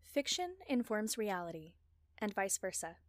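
A woman delivering a scripted personal talk as a podcast creator, with measured, articulate phrasing and deliberate emphasis. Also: mid-range voice, big pitch swings, no echo, studio quality.

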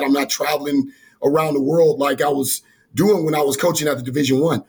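A man in his forties, a college basketball coach, talking earnestly in an interview with a voice that is going hoarse, often restarting his sentences. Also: on the high side. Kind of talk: interview answers.

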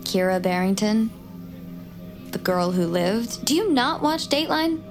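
A woman's voice: medium pitch, lively intonation, measured pace, over music. An actress playing an intense young woman in an audio drama, glib and socially blunt, with naturalistic delivery. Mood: glib, insistent. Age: young adult. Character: glib, intense young woman, awkward true-crime survivor.

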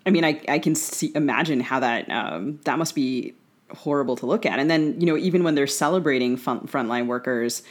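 A woman's voice: very deep voice, brisk. An American woman co-hosting a conversational discussion, talking discursively with many asides as she works toward questions. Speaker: podcast co-host, interviewer.

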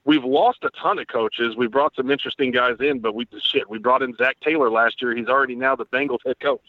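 A man, a guest writer, talking through football analysis in a casual podcast chat, quick and a bit profane. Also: mid-range voice, fairly even intonation, no echo. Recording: tinny dry phone-line audio.

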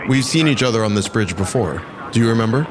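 An actor playing a concerned, steady police officer in a naturalistic audio drama, plain-spoken and a little halting. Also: male voice, on the low side, a crowd in the background, close to an everyday voice. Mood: insistent, calm. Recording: clear outdoor traffic and vehicle noise. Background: cars and urban outdoor ambience.

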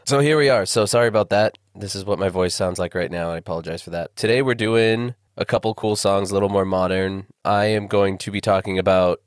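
A man's voice: deep voice, brisk, hardly any pauses, no echo, studio quality. A young man hosting a music podcast, speaking casually and with easy self-deprecation while fighting off a bad cold. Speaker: podcast host.

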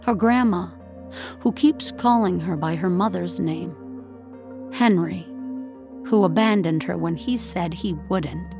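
Slow, low-voiced woman narrating fiction. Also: gravelly. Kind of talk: scripted dramatic story narration. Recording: studio narration over faint music.

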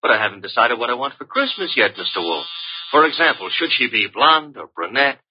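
An actor playing a breezy, wisecracking detective's assistant in an old radio drama, teasing and flippant. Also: male voice, medium pitch, sing-song, fairly quick, a little hiss or hum, lightly acted. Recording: muffled vintage radio drama recording.

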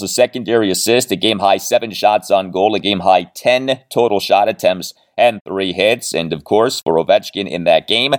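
A man hosting a sports podcast, recapping games and statistics with emphatic, deliberate phrasing. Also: lowish voice. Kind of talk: sports recap commentary with stats.

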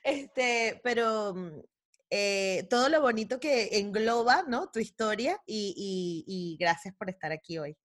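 A woman's voice: mid-range voice, lively intonation, measured pace. Enthusiastic woman hosting a podcast. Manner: enthusiastic, many fillers. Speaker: podcast host. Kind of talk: casual podcast chat.

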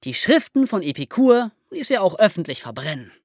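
A woman hosting a podcast, explaining history as lively storytelling in simple, conversational terms. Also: mid-range voice, fairly quick, dry recording, clean studio sound. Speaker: podcast host telling history stories.

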